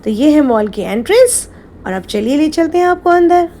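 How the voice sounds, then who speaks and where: A woman's voice: sits high, expressive, normal speed. A woman narrating a family vlog, mixing English phrases into her speech. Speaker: family vlogger. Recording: background noise behind voice.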